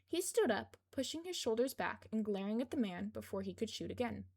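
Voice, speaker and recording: A woman narrates a story as an amateur audiobook reader. Her voice sits a little high as she reads the scripted prose. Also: lively intonation, a little fast, vocal fry.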